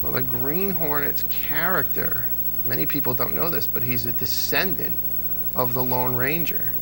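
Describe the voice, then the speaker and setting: A man's voice: mid-range voice, moderate pace, gravelly, over music, low-quality recording. A man chatting as an interview guest, explaining things and telling anecdotes with a fan's enthusiasm. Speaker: interview guest, old-time radio fan. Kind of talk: casual interview chat.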